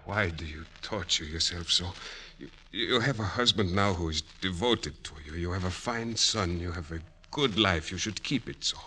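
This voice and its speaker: An actor playing a stricken, gentle chemist in a golden age radio drama, with a halting, grave, restrained delivery. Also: male voice, low voice, a little hiss or hum. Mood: gentle, guarded. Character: stricken, gentle middle-aged Austrian chemist, secretive former soldier. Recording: old radio broadcast.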